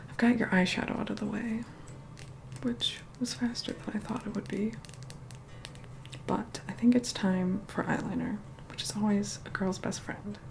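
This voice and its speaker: A woman playing an aloof, vain young vampire in a soft whispered ASMR roleplay, unhurried, dry and mildly condescending. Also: slight background noise, natural voice. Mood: focused, bossy. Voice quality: soft, breathy whispering mixed with low murmur. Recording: music playing behind close whispered voice.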